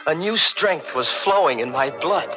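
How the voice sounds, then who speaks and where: A man's voice: a little high, expressive, normal speed, close to an everyday voice. An actor playing a cold, greedy murderer who tells his own crime in a menacing, theatrical 1940s radio thriller style. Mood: exultant. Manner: menacing, mock-polite, theatrical. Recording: muffled 1940s radio recording with music.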